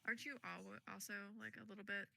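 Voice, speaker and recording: Bright, gravelly woman gaming. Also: mid-range voice, steady tone, quick pace, slight background noise. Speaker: co-player. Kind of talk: casual gaming chat.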